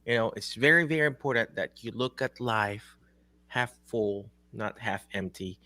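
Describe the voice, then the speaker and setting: A man with a Filipino accent hosting a casual interview over a video call, animated and laughing often. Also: on the low side, normal speed, no echo. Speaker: host.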